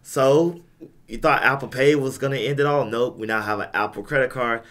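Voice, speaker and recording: A young man hosting a casual podcast, hoarse from losing his voice, with laid-back, self-deprecating banter. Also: medium pitch, moderate pace, fairly bright tone. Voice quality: raspy. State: hoarse, lost voice. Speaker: podcast host.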